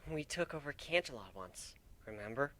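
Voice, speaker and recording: A man voicing an affectionate, slightly anxious female changeling in a storybook reading, soft and breathy, with a gentle, hesitant manner. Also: mid-range voice, expressive, moderate pace. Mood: thoughtful.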